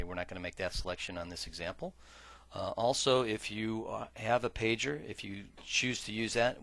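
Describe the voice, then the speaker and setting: Low bright punchy male voice, trainer walking thru software steps, explains stuff carefully. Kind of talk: instructional screen-share tutorial narration. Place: voice-over for a screen recording.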